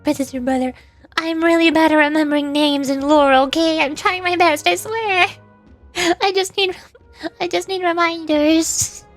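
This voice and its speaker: A woman's voice: very high voice, steady tone, moderate pace, faint music behind. A woman streaming a game, chatting casually and reading lore aloud in a giggly, easily puzzled, self-deprecating manner.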